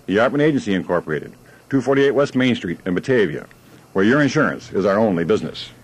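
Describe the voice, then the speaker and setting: A man's voice: lowish voice, animated, fast talker, slightly rough, rough recording. A man delivering a scripted radio advertisement as a local insurance agent.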